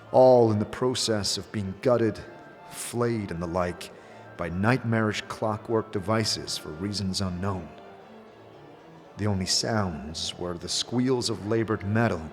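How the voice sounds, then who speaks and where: A man narrating a fantasy audio drama as a storyteller, in a deliberate, theatrical scripted style. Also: lowish voice. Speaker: narrator and game master. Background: background music.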